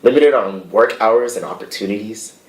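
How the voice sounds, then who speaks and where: Young male student leader, low voice, earnest and measured formal address, roomy sound. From United States. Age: young adult. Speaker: student association president. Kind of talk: prepared formal speech to a board. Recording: distant, echoey remote audio.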